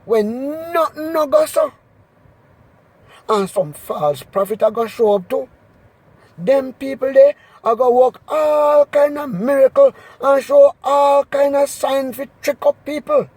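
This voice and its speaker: A man with a Jamaican accent doing an expressive, emphatic scripture reading in Patois. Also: very high-pitched, unhurried, no echo, poor audio. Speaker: Bible reader.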